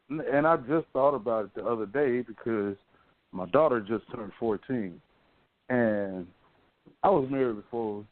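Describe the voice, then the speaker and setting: An American man co-hosting a radio talk show, speaking casually and candidly in colloquial, halting phrases. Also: mid-range voice. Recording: narrow phone-like line, dry.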